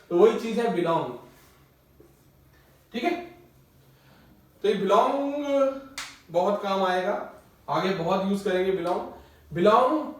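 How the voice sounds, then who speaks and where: Male tutor, patient classroom lecture, repeats key terms, very echoey, distant mic. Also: high voice. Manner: explains patiently with everyday examples, repeats key words. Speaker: maths tutor. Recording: echoey room, mic far off.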